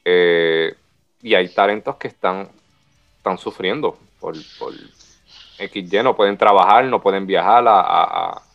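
A man's voice: deep voice, unhurried, creaky, highs cut off, soft background music. A man, hosting a casual wrestling chat and steering it to the next topic.

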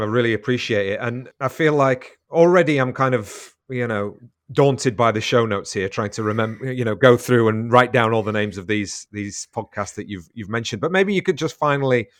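A man's voice: medium pitch, dry recording, studio quality. A man hosting a podcast interview in a casual, informal and encouraging conversational way.

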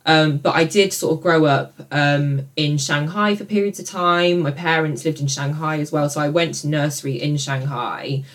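A woman's voice: low-pitched, steady tone, normal speed, few pauses. Young woman, British accent, native Mandarin speaker, casual podcast chat, co-host. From born in northern China, grew up partly in the UK. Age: young adult.

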